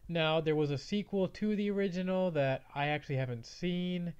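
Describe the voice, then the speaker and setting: A man's voice: a little high, moderate pace, loud music behind the voice. A man hosting a casual movie review, his voice slightly off from a mild cold. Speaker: movie review show host. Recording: slightly dull, band-limited audio.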